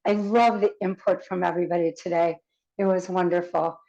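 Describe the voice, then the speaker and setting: A woman's voice: fairly low pitch, steady tone, fairly quick, gravelly, warm tone, dull audio. A woman taking part in a class on a video call, chatty and asking questions. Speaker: class participant.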